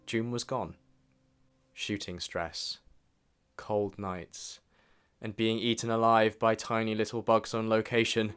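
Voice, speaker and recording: A man's voice: fairly low pitch, fairly bright tone. A young British man narrating a scripted year-in-review vlog in a reflective, earnest way. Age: young adult. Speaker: video creator and student filmmaker. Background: background music with guitar.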